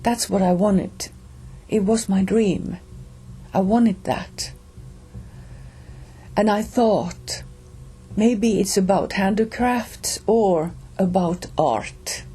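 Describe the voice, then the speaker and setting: Slow-talking Finnish woman telling a story. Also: medium pitch, warm tone, slightly rough. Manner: slow, halting, with pauses and restarts. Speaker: reader and storyteller. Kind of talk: personal storytelling.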